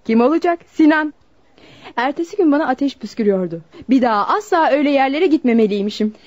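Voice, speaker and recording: A woman's voice: high-pitched, lively intonation, fast, darkish tone. A woman playing a vain, scheming young woman in a radio drama, flippant and haughty. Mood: mocking. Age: young adult. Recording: dull lo-fi radio recording.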